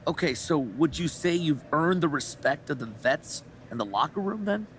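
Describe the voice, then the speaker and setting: A man's voice: fairly high pitch, expressive, normal speed, a little hiss or hum, lightly acted, low-quality recording. A man playing a persistent sports reporter in a video game, pressing with insistent, leading questions. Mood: prodding.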